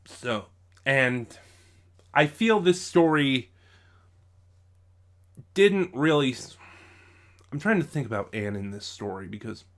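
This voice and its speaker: Man giving a halting off-the-cuff review. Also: mid-range voice, animated, fairly bright tone. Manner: halting, thinking aloud, often rephrasing mid-sentence. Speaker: YouTube audio drama reviewer. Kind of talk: unscripted review commentary.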